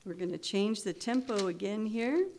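A woman's voice: medium pitch, normal speed, darkish tone. A woman leading a congregational hymn sing in church, her voice a little scratchy, talking in a friendly, chatty way with hesitant restarts. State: slightly scratchy voice. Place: church sanctuary.

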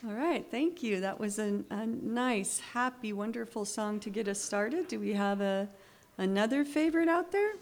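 A woman leading a church hymn sing, her voice slightly scratchy and rough. She chats with the congregation in a friendly way, with hesitant restarts. Soft piano plays faintly behind her. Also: mid-range voice, normal speed. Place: church sanctuary.

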